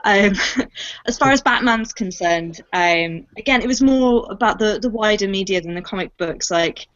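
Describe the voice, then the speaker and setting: A woman's voice: mid-range voice, moderate pace, fairly bright tone, muffled recording. A young woman chatting casually about her fandom as a cast member on a panel. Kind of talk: casual fan chat.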